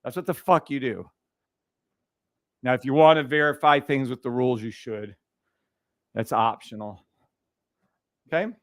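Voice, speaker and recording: A man hosting a trading livestream in casual chat, speaking loudly and bluntly with frequent swearing and laughter into a boom mic. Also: unhurried, long pauses, dry recording, studio quality. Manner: loud, blunt and profane, laughs often.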